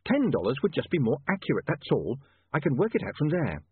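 A man's voice: fairly high pitch, huge pitch swings, fairly quick, breathy edge, dry recording, voice pushed up, full character voice. A man voicing an earnest, frantic, educated young newspaperman with an urgent, insistent manner in an audiobook. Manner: urgent, insistent, hesitant at times. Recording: muffled narrow-band, phone-like radio sound.

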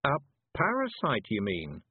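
A man voicing a composed, learned woman witch who speaks in a measured, precise, dryly analytical way for a storybook. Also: fairly low pitch, wildly swooping pitch, breathy edge, no room sound, narrow, tinny audio, exaggerated voice. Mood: measured, considering. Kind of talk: audiobook character voice.

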